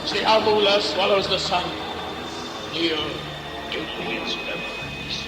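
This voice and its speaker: An actor playing an old, cruel high priest and ruler in a sci-fi audio play, pompous, commanding and declamatory. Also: male voice, steady tone, a little slow. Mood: grandly ceremonial. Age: older. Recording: echoey, loud music underneath.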